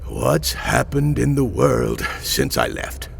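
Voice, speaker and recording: A man playing a gravelly ancient sage. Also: medium pitch, huge pitch swings, slight background noise, exaggerated voice. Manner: slow, deliberate and dry. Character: ancient male sage, weary and stubborn. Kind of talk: audio drama acting.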